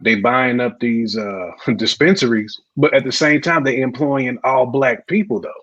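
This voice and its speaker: A man's voice: medium pitch, moderate pace, fairly bright tone, breathy edge. A man on a podcast panel, chatting casually in an agreeable, slangy way. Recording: slightly dull lo-fi mic.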